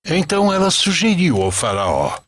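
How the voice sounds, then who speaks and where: A man narrating an animated religious story as a storyteller, solemn and deliberate, in a dramatic scripted style. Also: on the high side, no room sound, clean studio sound.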